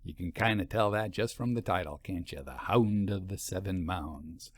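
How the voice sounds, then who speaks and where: A man hosting a story podcast as author and reader, speaking in a scripted but chatty, expressive way. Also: low voice, normal speed, no room sound. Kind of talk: scripted narration and conversational outro.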